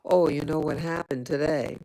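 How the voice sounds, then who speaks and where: A woman's voice: low voice, fairly even intonation, normal speed, dark tone, low-quality recording. A woman from New York City chatting casually to her viewers, rambling with many fillers and restarts. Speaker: vlogger. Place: home in Rwanda.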